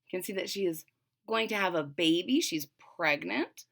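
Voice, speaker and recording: A woman's voice: lowish voice, animated, moderate pace, vocal fry, fairly bright tone. A woman reading a children's storybook aloud, expressively, with explanatory asides to young listeners. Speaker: storybook reader.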